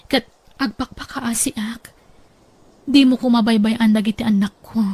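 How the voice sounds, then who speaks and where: Give me a woman as an earnest young mother, gentle and high-voiced. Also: fairly even intonation, moderate pace, breathy edge, dry recording. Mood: pleading. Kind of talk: Ilocano audio drama acting.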